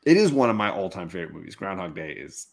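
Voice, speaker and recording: Middle-aged male, very deep voice, informal conversational delivery, keen explainer. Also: very animated, fast, vocal fry, a crowd in the background. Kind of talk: casual chat.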